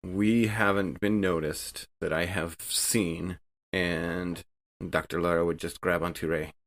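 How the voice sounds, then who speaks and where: A man's voice: sits low, fairly even intonation, a little slow, fairly bright tone. A man taking part as a player in a tabletop roleplaying game, improvising casually.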